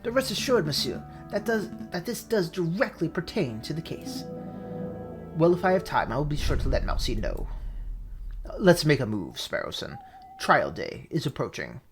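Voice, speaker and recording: A performer voicing a brooding male falcon lawyer in a game, speaking in formal, measured phrases. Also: mid-range voice, big pitch swings, soft background music. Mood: resolute.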